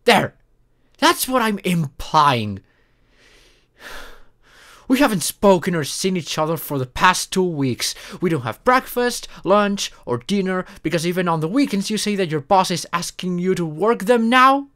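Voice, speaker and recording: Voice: mid-range voice, very animated, measured pace, fairly bright tone. A voice actor playing an upset, caring live-in partner in an intimate audio roleplay, emotional and pleading. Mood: angry, hurt. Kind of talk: intimate ASMR roleplay voice acting, one side of dialogue.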